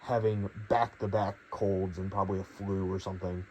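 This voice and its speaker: A young man, his voice a little hoarse from a cold, talks through a piece of gear in an easy, meandering way. He sits slightly low in pitch and hedges often, with plenty of fillers. A faint background hum runs underneath. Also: level tone, normal speed, a little mellow. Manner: rambling, hedging, many fillers. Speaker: gear reviewer. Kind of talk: casual product review.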